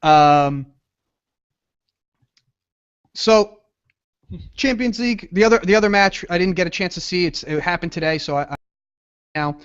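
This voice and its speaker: A man's voice: high-pitched, measured pace, long pauses, clear, resonant tone. A man hosting a casual soccer podcast, talking in a loose, rambling way that trails off. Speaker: podcast host. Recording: dull lo-fi podcast audio.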